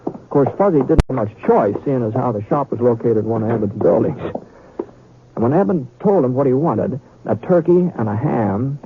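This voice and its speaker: An actor playing a homespun western storyteller, narrating a radio drama in a halting, conversational way. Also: male voice, mid-range voice, lively intonation, close to an everyday voice. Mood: folksy, wry. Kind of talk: old-time radio storytelling. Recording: tinny radio sound with crowd noise.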